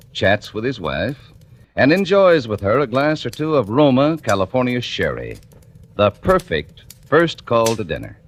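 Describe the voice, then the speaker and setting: An American male announcer delivers an old-time radio advertisement with polished, measured phrasing. His pitch swings very widely, and faint noise sits on the vintage recording. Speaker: commercial announcer. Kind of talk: 1940s radio advertisement read.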